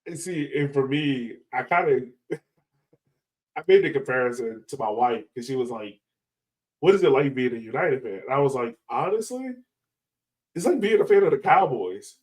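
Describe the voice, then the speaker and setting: Casual American man, medium-pitched voice. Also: lively intonation, moderate pace, a little mellow, poor audio. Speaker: podcast host, interviewer. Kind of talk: casual chat.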